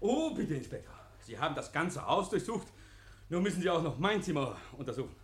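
An actor playing a nervous, over-eager murder suspect in a 1950s radio play, effusive, stammering and repeating himself. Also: male voice, a little high, sing-song, brisk. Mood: over-eager, polite.

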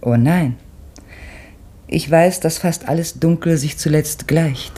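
A gentle mother in an old radio play speaks low and mellow. Her husky tone is soothing and measured, and she sounds quietly knowing. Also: exaggerated voice. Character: calm, gentle mother of a schoolgirl. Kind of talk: 1960s radio drama acting.

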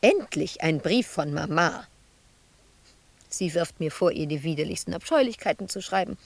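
A lonely, embittered woman in a radio play, brooding to herself. Her voice is husky and low, often thinning to a whisper. The sound is very dry and intimate. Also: expressive, normal speed, dry recording. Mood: wounded, reproachful. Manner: brooding, intimate, shifting between whisper and outburst. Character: confined woman sculptor, embittered, lonely, wistful. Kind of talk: radio drama monologue.

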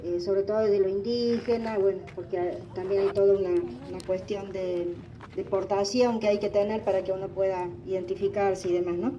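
A woman in her forties whose first language is Guarani addresses a gathering, hesitating and restarting often. Her voice is mellow, caught from some distance, with faint noise behind it. The recording sounds dull and lo-fi. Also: medium pitch, steady tone. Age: 40s. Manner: halting, many fillers and restarts. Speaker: guest speaker.

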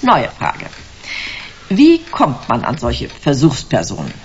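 A woman's voice: a bass voice, huge pitch swings, slow, darkish tone, exaggerated voice. An actress playing a smug, commanding company director in a German sci-fi radio drama, suave and theatrical, savouring her words. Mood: smooth, lecturing. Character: smug, commanding female corporate director selling a drug. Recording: dull, hissy radio play recording.

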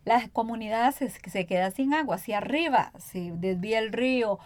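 A woman's voice: fairly high pitch, normal speed, dry recording. A woman with a Costa Rican accent hosting a radio interview in a conversational way. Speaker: radio program host.

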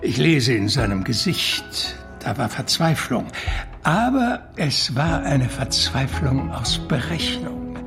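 Creaky, expressive cultured man confessing. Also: mid-range voice, slow, over music. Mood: anguished confessing. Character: cultured art-world doctor, anguished, self-justifying witness. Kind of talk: German radio drama acting.

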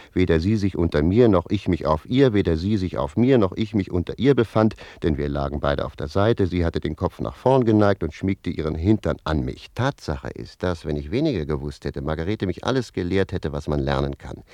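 A low, mellow male voice, recorded close and dry. a sly servant in a radio play, spinning his tale with mock innocence. He drives each point home with emphatic repetition. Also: no room sound. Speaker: actor. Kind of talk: German radio play acting, storytelling in dialogue.

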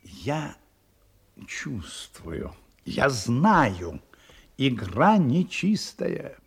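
An actor playing a shrewd, brooding English detective in an audio drama, speaking deliberately and theatrically, with ironic turns and thoughtful pauses in a gravelly voice. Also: male voice, medium pitch, huge pitch swings, soft background music, heavily acted. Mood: troubled. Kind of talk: Russian audio drama acting.

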